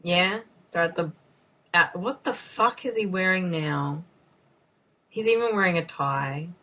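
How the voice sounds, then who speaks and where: A woman's voice: lowish voice, a little slow, bright, forceful tone. A young woman casually co-hosting a movie commentary, joking, sarcastic and swearing freely. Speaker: co-host.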